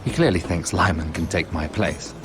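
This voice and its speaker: Sardonic court nobleman, deep, expressive intonation, smooth, wry, fantasy game, music behind. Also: moderate pace. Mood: bitter, wry. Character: wry, worldly lord and merchant at a fantasy court. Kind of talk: fantasy video game acting.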